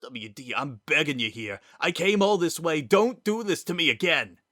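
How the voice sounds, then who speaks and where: A man performs an informal, slangy wisecracker in a dramatic audiobook story, his voice bright and forward. He is a grieving, desperate sort of guy, raw with feeling under the easy talk. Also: on the high side, lively intonation, normal speed, dry recording, studio quality, pitched above the performer's own voice. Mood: pleading, upset. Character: Sans, a wisecracking man pleading desperately, worn down by grief.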